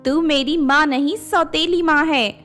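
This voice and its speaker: Woman as young stepdaughter, high voice, hot-tempered, dramatic, animated storybook cartoon. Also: measured pace, faint music behind. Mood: angry, scornful. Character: spiteful, selfish young stepdaughter, hot-tempered, later panicked.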